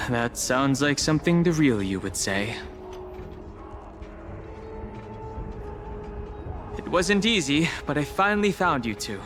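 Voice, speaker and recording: A man voicing a reserved young warrior companion in a video game, calm, serious and understated. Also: a little high, moderate pace, over music. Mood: wary, calm.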